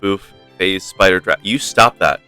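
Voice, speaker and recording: A man's voice: low-pitched, measured pace, clean tone, no echo. A man streaming a game with casual, playful, rambling commentary for his chat. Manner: rambling, playful, mock-stern. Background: soft game music.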